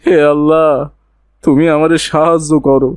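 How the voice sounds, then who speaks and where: A man's voice: a little high, little pitch movement, normal speed, clear, resonant tone, dry recording, close to an everyday voice. A man playing a poor, devoted cobbler father in a moral cartoon, with a rural Bangladeshi accent and an earnest, tender manner. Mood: worried. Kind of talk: Islamic moral cartoon dialogue.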